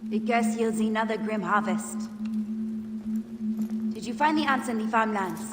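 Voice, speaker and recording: A woman's voice: on the high side, normal speed, noisy background, lightly acted. An actress playing a grave, guilt-stricken female priestess in a video game. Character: troubled female priestess of a god. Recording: echoey temple hall with loud score.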